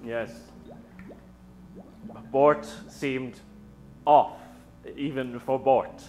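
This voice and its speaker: A man's voice: mid-range voice, fairly even intonation, dull audio. Theatrical male narrator over music. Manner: suspenseful, theatrical, with dramatic pauses. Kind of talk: dramatic improvised radio-play narration.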